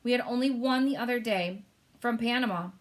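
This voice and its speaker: A woman's voice: on the high side, a little fast, pitched above the performer's own voice, close to an everyday voice. A woman doing a blustering army general. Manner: gruff, impatient. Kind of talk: storybook read-aloud.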